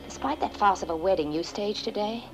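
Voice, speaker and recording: An actress playing a scornful, bitter aristocratic widow, middle-aged, with a haughty, deliberate manner. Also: female voice, medium pitch, close to an everyday voice, poor audio. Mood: bitter, mocking. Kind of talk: theatrical film acting. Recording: old film soundtrack with music behind.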